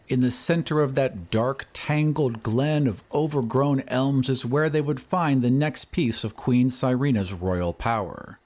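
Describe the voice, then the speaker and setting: A man's voice: mid-range voice, darkish tone. A man narrating a fantasy recap in a scripted, dramatic storytelling style.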